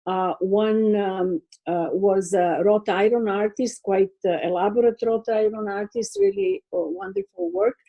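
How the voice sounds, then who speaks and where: A woman's voice: mid-range voice, expressive, gravelly, dull audio. An older woman from Serbia with a Serbian accent, answering interview questions as a guest artist over a video call, hesitant and full of fillers. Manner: hesitant, many fillers, slow thoughtful pace.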